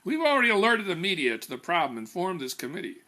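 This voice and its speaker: A man's voice: high-pitched, huge pitch swings, quick pace, bright, forceful tone, pitched above the performer's own voice, exaggerated voice. A man reading a comic story as an earnest, easily impressed committee organizer, eager and deferential.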